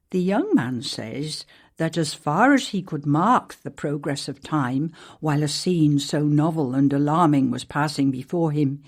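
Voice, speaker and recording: A woman narrating an archaic newspaper account as a ghost story, in a measured, formal storytelling manner. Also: low-pitched, a little mellow, slightly rough. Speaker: actor reading a historical newspaper article as a ghost story.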